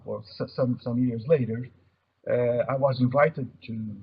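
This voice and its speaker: Husky Brazilian man presenting, muffled audio. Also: mid-range voice. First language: Portuguese. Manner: halting, repeats words while searching for phrases. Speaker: webinar presenter, mobility observatory coordinator. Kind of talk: explanatory presentation. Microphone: online webinar connection. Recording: dull, muffled webinar audio.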